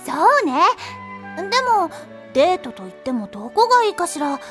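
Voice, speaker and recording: Perky anime schoolgirl, cutesy and exaggerated, very high, light music behind. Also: moderate pace. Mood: eager planning. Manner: cutesy, exaggerated expressive delivery. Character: cute, spirited anime teenage girl. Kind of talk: Japanese visual novel audio drama acting.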